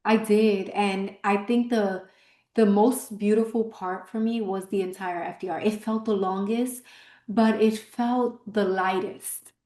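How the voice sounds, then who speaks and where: A woman's voice: medium pitch, fairly even intonation, measured pace, mellow. Woman fitness coach from Brooklyn, upbeat guest, roomy recording. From Brooklyn, New York. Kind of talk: casual interview answers. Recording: roomy, echoey room sound.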